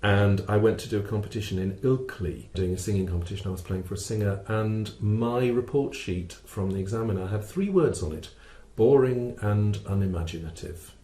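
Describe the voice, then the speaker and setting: A middle-aged man presenting a webinar as a vocal coach, explaining step by step in a measured way, posing questions and answering them. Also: fairly low pitch, dark tone. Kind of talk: explanatory teaching talk with a co-presenter. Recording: roomy, reverberant sound.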